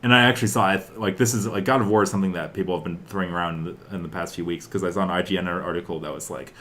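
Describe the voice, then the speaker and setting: Male podcast co-host, low register, loose conversational delivery that wanders as he thinks. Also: steady tone, racing, a bit bright, slight background noise. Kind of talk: casual chat about games and films.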